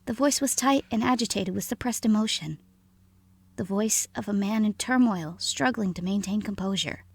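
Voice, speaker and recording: A woman's voice: medium pitch, moderate pace, vocal fry, breathy edge, no echo. A woman narrating a scary story in the first person, reading from a script.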